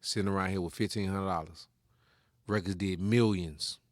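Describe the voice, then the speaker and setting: A man co-hosting a casual podcast chat, arguing his points and laughing, saying he is high. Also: normal speed, no echo, clean studio sound. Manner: argumentative, animated, laughing. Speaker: podcast co-host.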